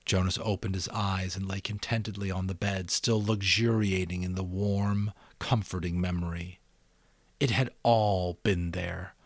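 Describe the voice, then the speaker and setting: A man reading a novel aloud as dramatic narration, with expressive, deliberate phrasing and pauses. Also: sits low, no echo. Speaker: amateur audiobook narrator.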